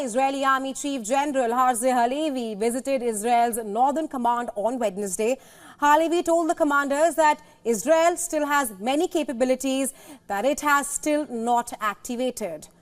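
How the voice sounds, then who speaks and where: High-pitched woman reading the news. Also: moderate pace. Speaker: news presenter. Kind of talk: scripted news narration.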